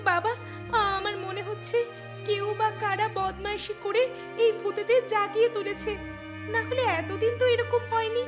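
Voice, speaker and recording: A woman playing an excitable young city-educated daughter in a cartoon. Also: extremely high pitch, moderate pace, music in the background. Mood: alarmed, urgent. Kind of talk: Bengali cartoon voice acting.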